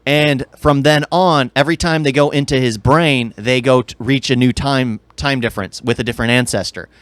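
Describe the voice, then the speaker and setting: Mid-pitched man, rambling, in a dry space. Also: fairly even intonation, normal speed, fairly bright tone, dry recording. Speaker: podcast co-host. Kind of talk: casual chat about game movies.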